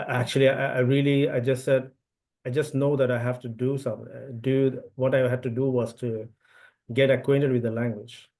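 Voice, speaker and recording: A man's voice: medium pitch, a little mellow. A man from India, a native Telugu speaker, giving an online webinar talk through a computer mic, with many fillers and restarts. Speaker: event speaker. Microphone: computer mic on video call.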